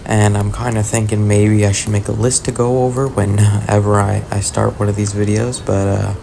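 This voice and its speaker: A man with a raspy, worn voice from long talking, giving low-key, hesitant voice-over commentary on gameplay in a casual way. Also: level tone, moderate pace, noisy background. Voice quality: raspy, gravelly. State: tired voice after long talking, throat-clearing. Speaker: gaming video host. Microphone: voice-over recorded separately from the footage.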